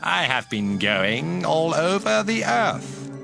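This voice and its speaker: Sinister tempter spirit: sly, extremely slow and drawn out, croaky fry, audio Bible drama. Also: male voice, on the high side, big pitch swings, music in the background, exaggerated voice. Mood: sly, taunting.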